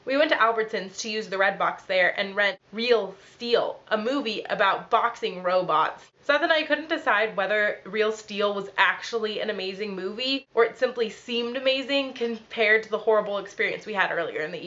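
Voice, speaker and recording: A young woman who is ill and sounds nasal, telling a story in a quick, dry, deadpan vlog. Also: mid-range voice, lo-fi sound. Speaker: vlogger.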